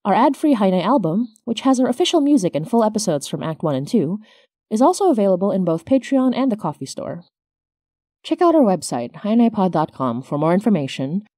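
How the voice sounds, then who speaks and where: A woman's voice: mid-range voice, big pitch swings, a little fast, dark tone, dry recording, clean studio sound. A woman hosting her podcast, reading scripted announcements as its creator.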